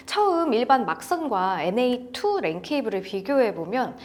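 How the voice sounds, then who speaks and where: A woman's voice: a little high, sing-song, normal speed, a little hiss or hum. A woman co-hosting a conversational product review, describing her impressions. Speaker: co-host of an audio gear review show.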